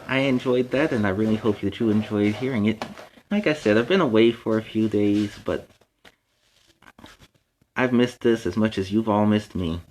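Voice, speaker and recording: A man's voice: fairly low pitch, normal speed, pauses often, a little mellow, slight background noise, rough recording. A man hosting a children's story time, reading aloud with playful, explanatory asides in a superhero persona. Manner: explains words and lessons to young listeners.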